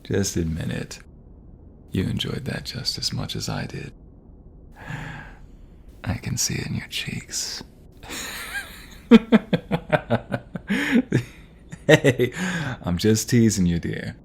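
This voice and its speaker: A man acts a young mobster with a romantic, protective streak in an ASMR roleplay. The voice is low and intimate, with hesitant pauses, over faint background noise. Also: huge pitch swings, vocal fry, heavily acted. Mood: tender, teasing. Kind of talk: ASMR audio roleplay acting.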